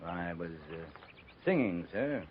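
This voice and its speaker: An actor playing a dry, unhurried, folksy cavalry captain in a 1950s radio western. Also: male voice, low voice, expressive, a little hiss or hum. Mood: deadpan, respectful. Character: laconic, deadpan cavalry captain of the frontier West. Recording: muffled 1950s radio recording.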